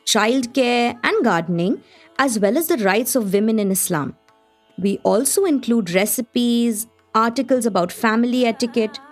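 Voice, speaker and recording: A gracious Indian lady editor from the early twentieth century, hosting a radio play. Her voice sits fairly high and her delivery is formal and measured, with soft music behind it. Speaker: host. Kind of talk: scripted radio play narration.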